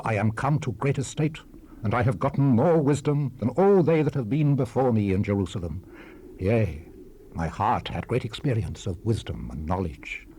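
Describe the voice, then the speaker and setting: An actor playing a grave, world-weary preacher-king of ancient Israel in a dramatized scripture recording, declaiming in a solemn, measured, theatrical manner. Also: fairly low pitch, warm tone. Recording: unprocessed 1978 cassette rip with hiss.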